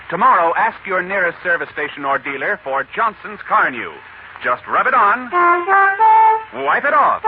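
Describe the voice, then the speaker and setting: A man reading a scripted 1940s radio advertisement in a punchy, emphatic pitchman style on an old recording. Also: dull audio. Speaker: commercial announcer.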